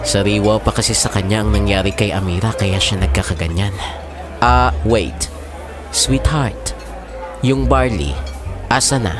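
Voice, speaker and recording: A man playing a low-voiced earnest father. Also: a little slow, long pauses, music in the background, natural voice. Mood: reassuring. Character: widowed father, defensive yet tender with his sweetheart. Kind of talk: audio drama acting.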